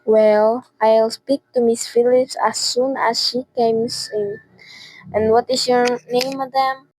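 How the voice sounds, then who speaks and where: A courteous, unruffled woman clerk played by a teenage girl in a radio play. Her voice is fairly high, her manner formal and matter-of-fact, and the recording is dry. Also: flat delivery, takes it slowly, a little mellow, no room sound, low-quality recording. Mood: courteous, unmoved. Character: polite, unruffled female nurses' registry clerk. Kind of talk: radio drama acting.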